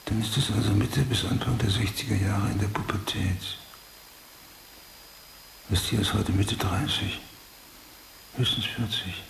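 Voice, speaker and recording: An actor playing an obsessive, brooding police detective in a German radio drama, speaking in a clipped mutter that drifts toward a whisper. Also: male voice, lowish voice, steady tone, a little slow, vocal fry, pauses often. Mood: obsessive, analytical. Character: obsessive, brooding male police detective hunting a serial killer. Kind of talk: German radio drama acting, interior monologue. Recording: roomy, faint hiss.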